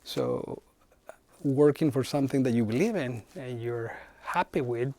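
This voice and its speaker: A man's voice: medium pitch, measured pace, dark tone, no echo. Man with a dry, close sound. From Chile. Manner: thoughtful, frequent hesitations. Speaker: head of human resources. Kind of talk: interview answers.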